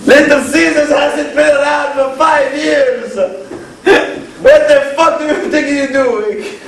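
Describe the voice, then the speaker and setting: Man putting on an excitable high-pitched Italian man. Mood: exasperated. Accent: exaggerated Italian. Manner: halting broken English, repetitive, sweary. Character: excitable Italian man lecturing in broken English. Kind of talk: improvised comic banter. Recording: echoey room, distant mic, faint noise.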